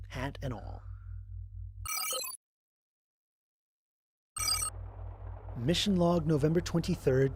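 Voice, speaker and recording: A man playing a weary, wry human astronaut narrating his mission log in an audio drama, with a dry, expressive storytelling delivery. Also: mid-range voice, a little hiss or hum, natural voice. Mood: tired, matter-of-fact.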